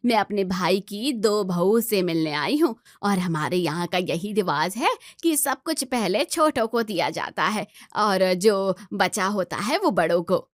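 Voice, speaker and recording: A woman's voice: mid-range voice, animated, a little slow, hardly any pauses, no echo, clean studio sound, close to an everyday voice. A woman voicing a stern, traditional older aunt in a family story, firm and admonishing.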